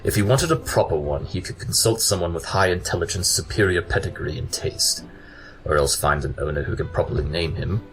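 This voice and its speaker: A man voicing a clever, haughty pony detective with a soft side, pompous and precise, in a cartoon audio drama. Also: sits low, brisk, faint music behind, poor audio. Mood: disdainful.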